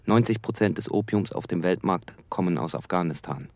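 A matter-of-fact expert in a radio play. His voice is very low, and he delivers facts and figures drily, like documentary commentary. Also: brisk, a little mellow. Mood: dry, factual. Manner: dry, factual. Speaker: actor in radio drama. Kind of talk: factual documentary-like interjections in radio drama.

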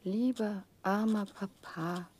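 A woman's voice: mid-range voice, steady tone, a little mellow, breathy edge. An actress playing a solemn, sentimental young lady who mournfully voices her letter in a 1960s German radio drama. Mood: mournful, tender. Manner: slow, mournful, drawn-out.

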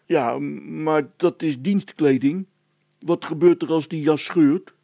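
Man playing a gravelly, whining village policeman. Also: a little high, slow, dark tone, no room sound, voice pushed up. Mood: worried. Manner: hesitant, plaintive, grumbling. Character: reluctant, whining male village policeman, simple and worried.

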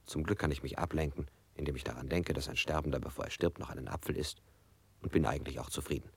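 An actor playing a dazed man under interrogation, who answers in detached, halting fragments. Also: rumbling low, fairly even intonation, gravelly, breathy edge, no room sound, full character voice. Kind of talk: radio drama acting.

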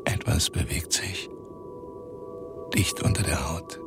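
An actor voicing a male Arctic hunter in a radio play, in terse, gravelly, partly whispered murmurs. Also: deep voice, slow pace. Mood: hushed, brooding. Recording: distant studio voice over music. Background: music.

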